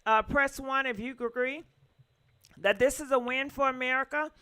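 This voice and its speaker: A woman's voice: high voice, steady tone, normal speed, a bit bright, clean tone. A woman hosting a casual call-in show, chatty with many fillers as she prompts her callers. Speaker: host.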